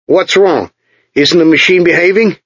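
A man playing a glum, homesick engineer who grumbles his lines flatly and without surprise. The tone is a little husky, and the recording is dull, lo-fi and dry. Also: high voice, moderate pace, no echo, voice pushed up. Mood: weary. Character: weary, morose male engineer far from home.

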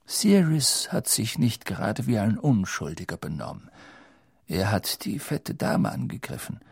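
A man reading an audiobook, voicing an old, wise wizard headmaster who speaks in a measured, grave and sometimes hushed way. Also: very animated, creaky, husky, no room sound, clean studio sound, heavily acted. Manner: measured, low, at times hushed.

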